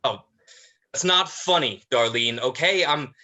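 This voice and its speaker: A man playing a stressed, parental eldest brother, exasperated and halting, in a play reading. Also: mid-range voice, expressive, sharp tone. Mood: weary exasperation. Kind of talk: dramatized play script reading.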